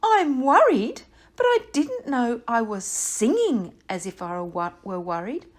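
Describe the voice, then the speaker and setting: A woman's voice: fairly high pitch, sing-song, measured pace. A woman voicing a nervous young male nightingale who stutters and whines tearfully. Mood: relieved.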